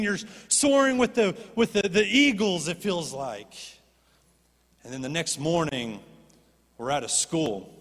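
A man preaching a sermon as a pastor, animated and rising to impassioned pleading. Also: a little high. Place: church stage. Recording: long reverb of a church hall.

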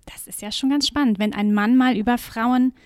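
A woman's voice: on the high side, steady tone, normal speed, a little mellow, dry recording, studio quality. A German woman co-hosting a podcast, chatting casually with her co-host and often using fillers and laughing. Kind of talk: casual chat. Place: home room with windows closed.

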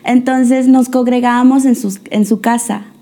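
A woman's voice: on the high side, fairly even intonation, normal speed, dark tone. A young woman from the United States, a guest on an interview show, telling her faith story in a hesitant way with many fillers and restarts. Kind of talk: testimony interview.

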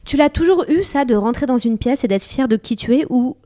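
A woman's voice: high-pitched, lively intonation, fairly quick, warm tone. Woman podcast host, reads the intro, then chats and asks stuff. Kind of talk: scripted intro then casual interview.

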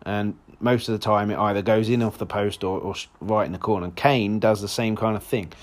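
Hoarse man chatting football. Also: fairly low pitch, steady tone, fairly quick. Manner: rambling, frequent restarts and fillers. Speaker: football podcast host. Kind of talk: casual solo podcast chat.

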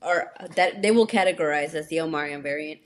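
Young woman hyping up her podcast, slangy, says like a lot, kinda low voice. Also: brisk. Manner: upbeat, slangy, lots of "like". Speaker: podcast co-host. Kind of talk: casual chat.

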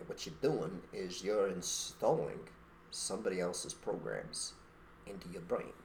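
A man's voice: deep voice, slight background noise. A man co-hosting a podcast, talking in a blunt, emphatic way and making rhetorical points in an opinionated conversational monologue. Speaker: podcast co-host.

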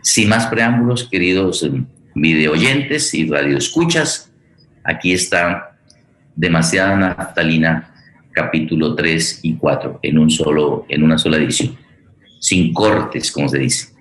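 Male host, radio theatre forum: very low pitch, deliberate and slow, roomy sound. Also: animated, fairly bright tone. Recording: echoey room.

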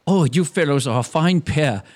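A man's voice: fairly high pitch, expressive, moderate pace, natural voice. A man playing a pompous, gullible and self-satisfied suitor in a gothic comedy audio drama. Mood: eager, pleased.

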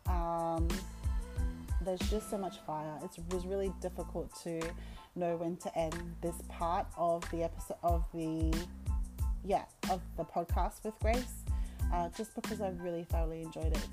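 A woman's voice: on the low side, normal speed, over music. A woman hosting a casual podcast interview, chatty and rambling, with lots of fillers and restarts. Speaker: podcast host.

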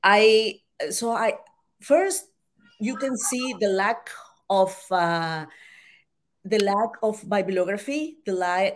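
Casting: Salvadoran-accented woman, Spanish native, hesitant interview guest, mid pitch. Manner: halting, many restarts and fillers. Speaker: author and journalist guest.

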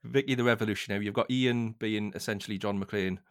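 A man's voice: on the low side, fairly even intonation, fast talker. A man chatting casually as a fan on a podcast, rambling a little hesitantly.

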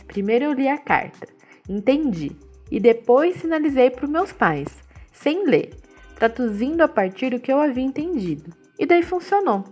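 A woman giving interview answers in a measured, steady, explanatory way, voicing them in translation. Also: a little high, husky.

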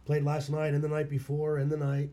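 A hoarse man from Pennsylvania, a local musician, telling stories about his past in a plain-spoken way. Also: fairly high pitch, monotone, a little fast, dark tone. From Schuylkill County, Pennsylvania. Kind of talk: casual interview chat.

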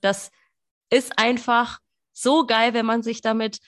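A woman's voice: fairly high pitch, level tone, slow. A woman co-hosting a podcast as a business coach, chatting casually and enthusiastically, with many fillers. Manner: enthusiastic, gushing, lots of fillers.

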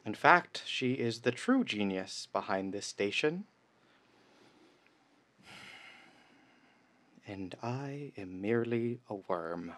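A man's voice: lowish voice, animated, a little slow, background noise. A man playing a panicked, melodramatic radio announcer in a radio play about an alien invasion. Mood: solemn, defeated. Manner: overdramatic, grandiose.

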